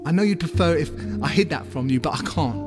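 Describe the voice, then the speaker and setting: An actor playing a bluntly cruel, self-loathing boyfriend in an audio drama, with an accusing, emotionally charged delivery. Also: male voice, a little high, fast, music in the background, close to an everyday voice. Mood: coldly frank. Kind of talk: dramatic audio play dialogue.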